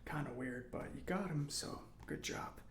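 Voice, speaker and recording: Whispering, creaky eccentric mechanic played by a man. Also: a little high, roomy sound, natural voice. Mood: musing, approving. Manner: halting, reassuring, murmured asides. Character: eccentric, giddy, kindly male government robot mechanic. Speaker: ASMR roleplay performer. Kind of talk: soft-spoken ASMR roleplay.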